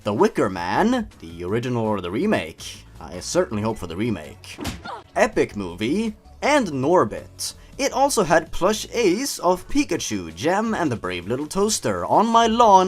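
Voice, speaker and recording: A man narrating and mocking a horror story in a theatrical, exaggerated comedic style. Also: on the high side. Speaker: host of a story-reading comedy show.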